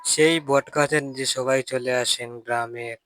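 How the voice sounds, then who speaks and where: A man's voice: mid-range voice, steady tone, a little slow, noisy background. A man narrating a scripted cartoon story.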